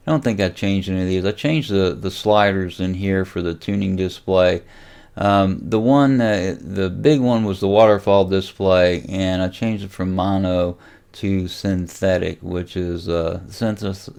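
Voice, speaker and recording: A man hosting a casual, unscripted software tutorial, thinking aloud and hesitating as he goes. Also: sits low, creaky, clean tone. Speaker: tutorial host and amateur radio hobbyist.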